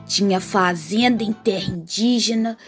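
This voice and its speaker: A woman's voice: mid-range voice, a little slow, soft background music, natural voice. A woman playing a folksy, wry narrator of a comic radio soap, theatrical, with a rural Brazilian accent. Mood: wry, dramatic.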